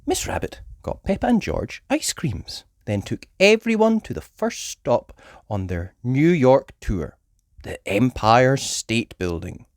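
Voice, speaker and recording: A woman reading a children's story aloud as an expressive storyteller. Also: extremely low pitch, takes it slowly, no echo, studio quality.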